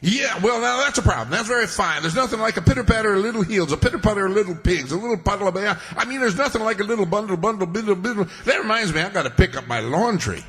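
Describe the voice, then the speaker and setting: High-pitched, befuddled small-town lawyer. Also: male voice, animated, quick pace, few pauses, fairly bright tone. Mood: absent-minded. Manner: flustered, stammering, muddles words and repeats himself. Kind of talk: old-time radio comedy acting. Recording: reverberant hall stage recording.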